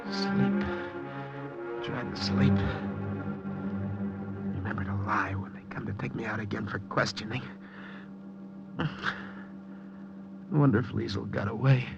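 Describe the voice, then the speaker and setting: An actor playing an anxious, captured undercover agent in a 1950s radio drama, speaking in tense, halting phrases. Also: male voice, lowish voice, lively intonation, gravelly, highs cut off. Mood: exhausted, fearful. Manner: tense halting inner monologue, stammering. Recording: old radio broadcast with music underneath.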